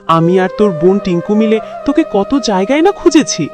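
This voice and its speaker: A woman's voice: mid-range voice, sing-song, brisk, natural voice. A woman voicing a caring but nagging, exasperated village mother in a cartoon. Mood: worried, tender. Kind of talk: cartoon voice acting. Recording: music behind the voice.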